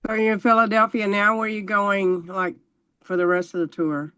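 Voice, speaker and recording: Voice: medium pitch, flat delivery, moderate pace, pushed and bright, clear, resonant tone, no room sound. Chatty questioner in a dry room. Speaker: meeting member asking questions. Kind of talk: casual interview chat.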